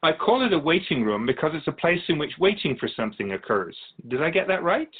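A man playing a calm otherworldly spirit guide in a radio drama, explaining things in a measured, quizzical way as an interview guest. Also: on the high side, slightly rough, narrow, tinny audio. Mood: candid, measured. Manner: measured, explanatory, quizzical about human speech.

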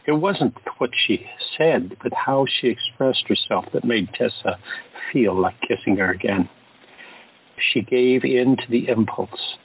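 A man narrating a scripted drama in an even, measured reading. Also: medium pitch, slight background noise. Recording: tinny phone-like audio.

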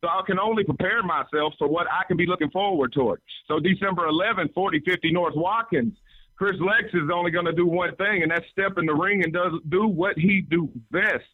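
A man's voice: sits high, a little fast, breathy edge, dry recording. Stammering young man. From Memphis, Tennessee. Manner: earnest, stammering, restarts words. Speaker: professional wrestler. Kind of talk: wrestling promo. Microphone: phone call. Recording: tinny phone line.

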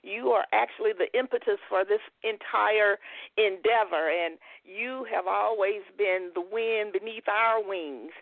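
A woman's voice: medium pitch, measured pace, no echo, narrow, tinny audio. A woman co-hosting a podcast, talking in a friendly, conversational way. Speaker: podcast co-host.

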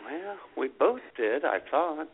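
A man's voice: a little high, sing-song, slow, gravelly. A man chatting casually as a radio host with dry sarcasm, over a narrow phone-like line. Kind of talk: casual chat.

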